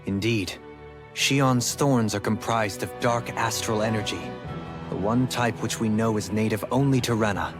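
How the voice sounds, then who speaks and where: Low, composed, erudite gentleman, played by a man. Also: a little slow, over music, natural voice. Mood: analytical, grave. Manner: formal, articulate, analytical. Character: composed, erudite gentlemanly male fantasy companion. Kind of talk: scripted video game cutscene acting.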